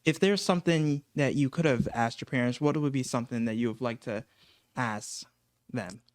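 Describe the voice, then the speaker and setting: A man's voice: mid-range voice, normal speed, no echo, clean studio sound. A seventeen-year-old young man guesting on a casual family podcast, easygoing and a little hesitant as he asks questions. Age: teenager. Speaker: guest interviewer, host's son. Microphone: studio microphone.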